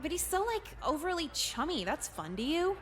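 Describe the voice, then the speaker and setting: Jaded, high-voiced sarcastic teen girl. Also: moderate pace. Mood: weary, wry. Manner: deadpan, caustic, foul-mouthed. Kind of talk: visual novel game voice acting.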